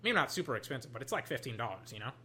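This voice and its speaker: A young adult, slightly hoarse, chatting casually while opening card packs at a desk, swinging between loud hype and low muttering and swearing freely. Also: sing-song, very fast, slight background noise. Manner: swears casually, trails off and restarts. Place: at a desk indoors.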